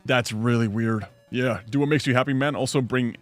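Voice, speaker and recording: Young guy rambling on his stream, reading chat and answering, mid voice, soft music in the back. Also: medium pitch, steady tone, moderate pace. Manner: rambling, chatty, reads chat aloud and answers. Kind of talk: casual live-stream chat.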